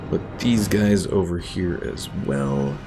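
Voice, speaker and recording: A man casually commentating his strategy game play, thinking aloud in a low-key, unsure way. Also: slow, music in the background. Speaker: streamer.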